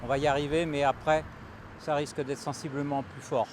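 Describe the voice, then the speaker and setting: Man, slightly high voice, measured, cars audible behind. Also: level tone. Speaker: interviewee. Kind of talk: street interview. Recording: clear traffic noise behind.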